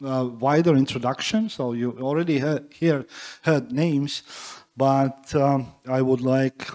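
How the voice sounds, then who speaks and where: A man moderates a panel in a large hall, speaking into a handheld mic. His voice is mellow and dark, and he talks haltingly, full of fillers and restarts. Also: mid-range voice, fairly even intonation. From Latvia. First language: Livonian. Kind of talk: panel discussion.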